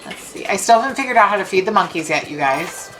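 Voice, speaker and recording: A woman's voice: mid-range voice, expressive, fast talker, background noise. Chatty woman streaming a game. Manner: chatty, gushing, jokey. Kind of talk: casual gameplay chat. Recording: game music playing behind.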